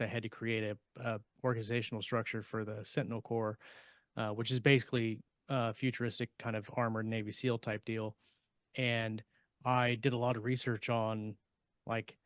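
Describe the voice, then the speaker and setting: A man speaks casually as a podcast panelist, explaining as he thinks aloud. His pitch sits in the middle, and the sound is very dry and close. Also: no room sound. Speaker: podcast panelist and sci-fi author.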